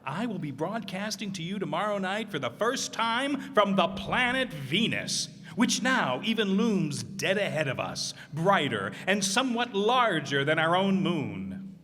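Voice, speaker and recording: An actor playing a pompous, excitable space correspondent in an old-time radio drama, with fussy announcer-like delivery. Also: male voice, high voice, unhurried, a little hiss or hum, heavily acted. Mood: pompous, proud. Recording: reverberant hall stage recording.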